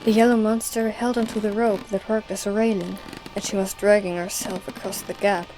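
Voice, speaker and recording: A woman's voice: medium pitch, level tone, fairly quick, dark tone, slight background noise, rough recording. A woman narrator reading scripted story narration for an audio drama.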